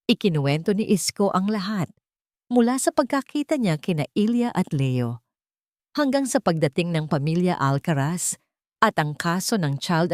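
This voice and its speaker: A woman's voice: low voice, darkish tone, no room sound, clean studio sound. A woman narrating a scripted dramatic story as an audiobook-style storyteller. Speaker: narrator of romantic drama stories.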